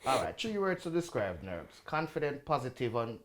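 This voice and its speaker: A man hosting a podcast interview, asking short, measured questions. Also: mid-range voice, sing-song. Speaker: podcast host.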